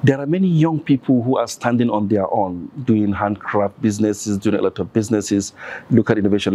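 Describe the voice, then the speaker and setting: A man's voice: lowish voice, mellow. A man with a Nigerian accent, a podcast guest talking earnestly and listing examples one after another. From northern Nigeria. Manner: earnest, lists examples insistently. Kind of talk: conversational interview.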